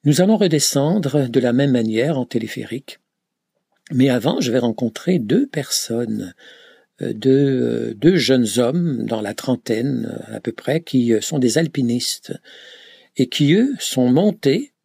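Male pilgrim, slow, husky and gravelly, stop-start telling, dry close sound. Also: medium pitch, animated, warm tone, no echo. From Canada. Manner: hesitant, many filler sounds and restarts. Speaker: pilgrim recounting a journey. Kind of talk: reflective travel account.

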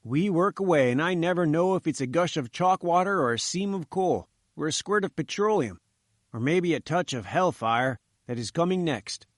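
A man's voice: a little high, lively intonation, moderate pace, no echo, studio quality. Man playing a gloomy, anxious head engineer. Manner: gloomy, worried. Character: long, thin, melancholy, anxious male head engineer.

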